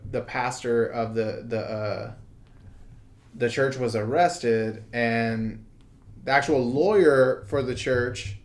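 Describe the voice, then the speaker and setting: American man, rambling and halting podcast talk. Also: mid-range voice. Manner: rambling and halting, heavy use of "like" and "you know". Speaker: podcast host. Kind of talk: casual conversational commentary on current news.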